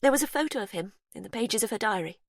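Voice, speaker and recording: A woman reading an audiobook, voicing an unhinged, childlike captor who speaks in a high, breathy, sweetly menacing sing-song. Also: expressive, quick pace, dry recording, clean studio sound. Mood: bitter.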